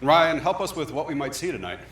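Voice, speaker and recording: A man's voice: on the high side, sing-song, fast talker, fairly bright tone. An American man reading scripted broadcast news as a TV anchor.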